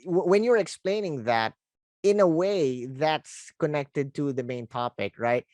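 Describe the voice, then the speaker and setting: A podcast host from the Philippines, a Filipino speaker talking casually in English, animated and quick to laugh, often restarting words. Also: male voice, on the high side, no echo. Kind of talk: casual interview chat.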